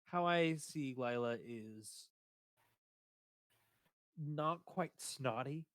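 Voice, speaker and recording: Booming-voiced man rambling in dry sound. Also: very slow, fairly bright tone, clean tone, no echo. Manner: rambling, hesitant with many fillers. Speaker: streamer discussing his game character. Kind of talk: casual chat.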